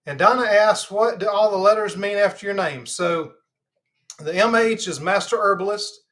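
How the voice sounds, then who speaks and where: A Southern man from Georgia, stuffed up in the nose, hosting a live health chat in a relaxed, rambling way with lots of fillers. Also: sits high, normal speed. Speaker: host of a live health channel. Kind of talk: casual livestream chat.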